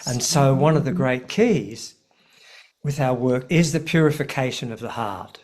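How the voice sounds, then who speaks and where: A middle-aged man talks slowly and earnestly about spiritual healing, stressing his key points. His voice sits at an ordinary middle pitch for a man. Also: expressive, a little mellow. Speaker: spiritual teacher, guest. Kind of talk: casual spiritual talk.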